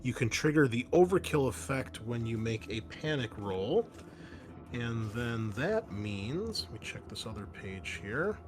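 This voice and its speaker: A man's voice: medium pitch, slight background noise. A man working as game master, narrating a tabletop game and explaining its rules, with casual hesitant asides.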